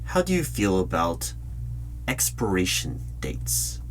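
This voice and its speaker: Deep-voiced man, probing interviewer with lively pitch. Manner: questioning, deliberate, dramatic pauses. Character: probing, suspicious male hiring manager of a secret bureau. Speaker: roleplay performer playing a hiring interviewer. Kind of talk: loud-spoken ASMR roleplay, one-sided interview. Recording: clear hum and keyboard typing noise. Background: typing on a computer keyboard.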